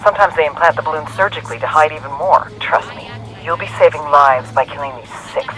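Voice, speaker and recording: Blunt intel handler in an action game, acted, sharp forceful voice, bit of music behind. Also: mid-range voice, fast, lightly acted, low-quality recording. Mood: hard-nosed briefing. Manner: matter-of-fact, forceful briefing. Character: blunt, hard-nosed intelligence handler briefing a squad. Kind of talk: video game acting.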